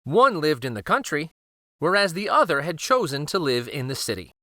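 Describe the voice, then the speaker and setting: A man narrating a children's storybook aloud, expressive and careful, with dramatic pauses. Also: fairly high pitch, dry recording, studio quality.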